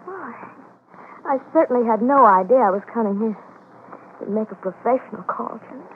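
An actress playing a gentle, affectionate young woman, a patient in love, wistful in an old radio drama. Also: a little high, expressive, moderate pace, lightly acted. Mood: surprised. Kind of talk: 1940s-50s radio drama acting. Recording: muffled old radio recording, faint noise.

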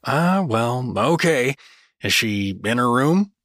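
A man's voice: medium pitch, lively intonation, slow pace, fairly bright tone. A man playing a well-meaning but clueless dad turned adventurer in a fantasy audio drama, hesitant and apologetic. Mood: friendly.

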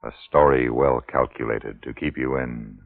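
A man announcing a 1950s radio show in a solemn, dramatic, deliberate style. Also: a bass voice, steady tone, creaky. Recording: tinny 1950s radio recording, loud music.

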